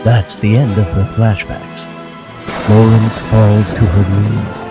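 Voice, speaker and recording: A male actor gives us a portentous announcer for a podcast drama. His voice is fairly low and very slow, grave in delivery, over loud music. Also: level tone. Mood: grave, dramatic.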